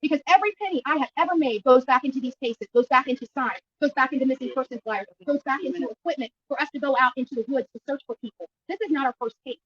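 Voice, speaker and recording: A high-voiced woman talks rapidly and insistently, like an activist answering the press. She is indignant and leans on repeated phrases to drive her point home. The audio is muffled and lo-fi. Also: a bit bright, husky, recorded from a distance. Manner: emphatic, indignant, repetitive phrasing. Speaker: YouTube livestreamer and missing-person activist being interviewed. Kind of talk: impromptu press interview. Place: at a county jail, press interview.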